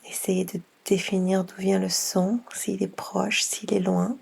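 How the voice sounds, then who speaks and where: Slow, breathy woman guiding meditation. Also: mid-range voice, level tone. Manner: soft, breathy, half-whispered; unhurried, gentle instructions with long pauses. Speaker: yoga nidra guide.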